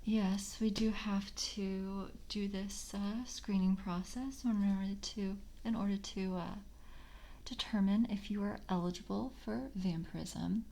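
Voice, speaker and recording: A woman playing a polite vampire coven member in a soft-spoken ASMR roleplay, breathy and often whispering, with formal, halting courtesy. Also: medium pitch, fairly even intonation. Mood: polite, measured.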